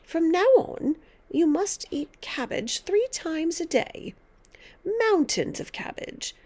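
A woman's voice: very high voice, sing-song, slow pace, a little mellow, in a whisper, pitched above the performer's own voice, heavily acted. A woman voicing a tiny, bossy, mean old grandmother who scolds and snaps with gloating emphasis in a storybook reading. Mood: bossy, snapping.

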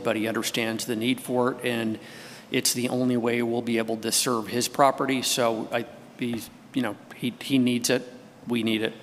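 Middle aged american guy giving a work report, pretty monotone, echoey hall w some noise. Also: medium pitch. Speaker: city staff official giving a report. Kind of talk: informal work update at a public meeting. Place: wood-panelled meeting hall. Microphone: gooseneck table microphone.

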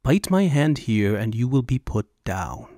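A man's voice: mid-range voice, sing-song, measured pace, dark tone, no echo, studio quality. A man voicing an imperious witch hunter in an audiobook, with a cold, menacing drawl. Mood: cold menace.

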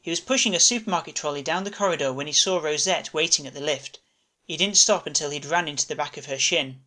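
A man's voice: a little high, quick pace, sharp tone. A man reading a scripted comic story as its narrator. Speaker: narrator and writer.

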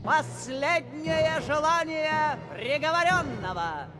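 A man playing a solemn royal herald in an animated film, slow and ceremonial, with music behind. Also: very high-pitched, heavily acted. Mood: solemn, proclaiming.

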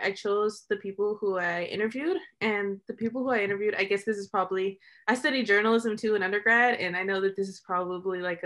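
A woman's voice: medium pitch, fairly bright tone. A young American woman, a documentary filmmaker, talks casually and discursively about their work on a video call, often saying "like". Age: young adult. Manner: informal, discursive, frequent "like", chuckles while talking. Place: small room at home, indoors. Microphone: computer mic on a video call.

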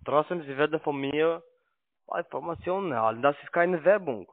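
Tinny phone line, pushy non-native male telemarketer. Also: a little high. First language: not German. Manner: pushy, broken grammar, halting. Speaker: cold-calling telemarketer. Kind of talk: phone sales call.

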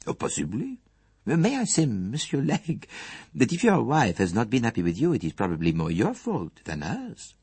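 Male reader as foreign detective, courteous, shrewd, formal, precise, lively intonation, slightly husky, storybook narration. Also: medium pitch, dry recording, pitched above the performer's own voice, heavily acted. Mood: gently reproving. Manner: formal, measured, precise phrasing.

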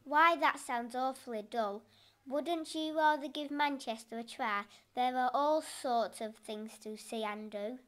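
A woman's voice: sits high, measured pace, a bit bright. A woman voicing a mischievous, lazy female cat in a storybook reading, with a purring, teasing manner.

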